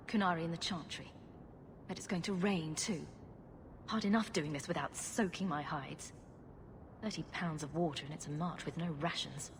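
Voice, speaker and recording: A woman's voice: fairly low pitch, slight background noise, close to an everyday voice. A woman voicing a sarcastic young female rogue hero who talks in a dry, muttering way. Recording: game audio with music behind.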